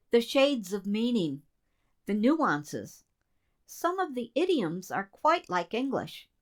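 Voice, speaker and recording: A woman's voice: mid-range voice, a bit bright. A woman in a community radio play, playing an earnest assistant code breaker in a conversational way. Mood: earnest, uneasy. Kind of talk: community theater radio drama dialogue.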